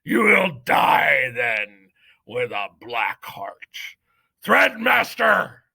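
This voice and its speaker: A man voicing a pompous old male head mouse who judges a trial, speaking sternly and slowly. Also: very animated, vocal fry, pushed and bright, slightly rough, dry recording, clean studio sound, full character voice. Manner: booming, stern, slow and solemn. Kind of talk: audiobook character reading.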